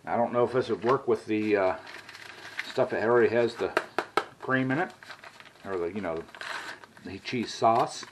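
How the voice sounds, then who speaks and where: A man's voice: lowish voice, normal speed, pauses often, background noise. A middle-aged man, rambling in a folksy way as he cooks and talks in his home kitchen. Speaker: cooking vlogger. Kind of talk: casual cooking vlog.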